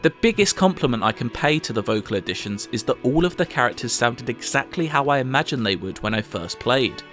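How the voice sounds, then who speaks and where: A man reading a scripted video game review as the reviewer. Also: medium pitch. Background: soft music.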